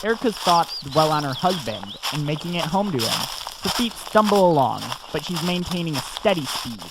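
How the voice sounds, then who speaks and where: A woman's voice: deep voice, big pitch swings, moderate pace, very noisy. A woman giving scripted narration for an audio drama.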